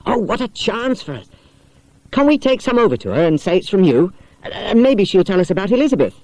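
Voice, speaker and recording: A man voicing an eager, polite schoolboy detective in a children's storybook, keen and bright in manner. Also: sits high, very animated, a little fast, no room sound, pitched above the performer's own voice, poor audio.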